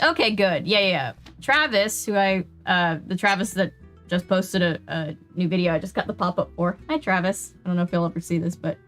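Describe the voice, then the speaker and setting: A woman's voice: on the low side, fairly bright tone. A woman streaming a video game, chatting casually and rambling as she plays. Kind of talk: casual chat while gaming.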